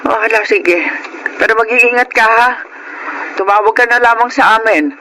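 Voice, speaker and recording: A woman playing a gentle, long-suffering middle-aged farmer mother in a Filipino radio drama, pleading and motherly. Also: on the high side, moderate pace, low-quality recording. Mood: worried, sad. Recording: clear background noise and ambience.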